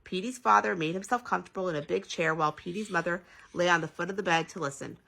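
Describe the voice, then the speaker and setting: A woman reading a children's bedtime story aloud in an expressive, animated way, drawing out some words. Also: fairly low pitch, quick pace, vocal fry.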